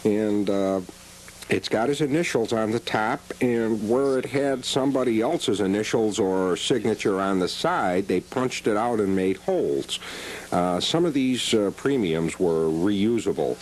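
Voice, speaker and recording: A man chatting on a television show, explaining collectible items in a measured way with frequent pauses. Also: on the low side, expressive, a little mellow, low-quality recording. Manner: measured, explains at length with many "uh"s. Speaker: radio premium collector guest. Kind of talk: casual TV chat about collectibles. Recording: old 1980s cable TV audio with hiss.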